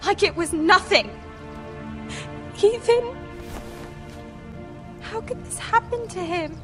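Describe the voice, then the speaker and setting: A woman's voice: very high voice, expressive, slow pace, music in the background, exaggerated voice. A woman playing an anxious, devoted teenage noble sister in a dramatic video game, speaking with earnest emotion. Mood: grieving.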